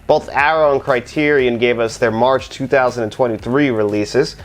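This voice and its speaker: A man with a slightly raspy, hoarse voice from the flu, co-hosting a casual podcast chat with enthusiasm. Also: medium pitch, moderate pace. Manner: enthusiastic, talkative. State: hoarse, getting over the flu. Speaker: podcast co-host.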